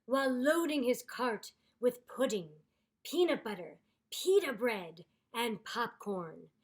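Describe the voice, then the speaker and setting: A woman reading aloud to children in an expressive storytelling style, with deliberate, dramatic emphasis. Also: on the high side, breathy edge. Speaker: storybook reader.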